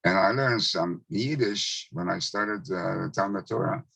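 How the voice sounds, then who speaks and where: Man in his nineties from Czechoslovakia, Hungarian native speaker, deep and husky, giving a slow, reflective account. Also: a bit bright, no room sound. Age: 90s. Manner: slow, measured recollection with pauses. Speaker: Holocaust survivor speaker. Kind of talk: testimony talk.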